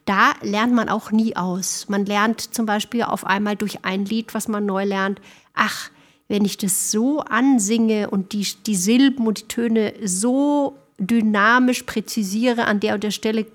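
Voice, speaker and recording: A woman's voice: medium pitch, a little slow. A woman talking casually as an interview guest, reflective and explanatory. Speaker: guest, singer. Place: small theatre, live recording.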